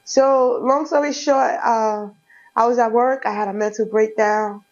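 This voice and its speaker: A woman's voice: fairly high pitch, fairly even intonation, unhurried, a little mellow. Frank New woman comedian. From Brooklyn, New York. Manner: frank, streetwise, laughs often. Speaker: comedian guest. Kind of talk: casual interview chat. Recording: dull lo-fi audio.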